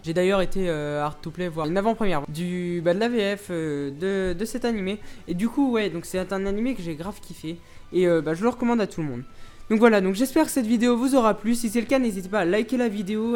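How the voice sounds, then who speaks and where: High-voiced young man with a cold. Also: a little mellow. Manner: rambling, many fillers and restarts. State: has a cold, sore throat. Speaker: YouTuber reviewing anime. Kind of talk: casual recommendation vlog.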